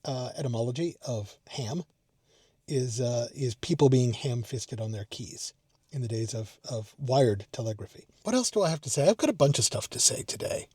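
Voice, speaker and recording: A man's voice: medium pitch, expressive, normal speed, darkish tone, slightly rough, no room sound, studio quality. A man talking casually to a vlog from inside a parked car, rambling and thinking aloud in a self-deprecating way. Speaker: amateur radio vlogger. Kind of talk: casual unscripted vlog talk.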